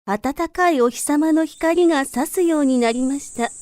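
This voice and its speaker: High-voiced woman telling a children's fairy tale. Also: moderate pace, darkish tone, no room sound. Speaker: storyteller.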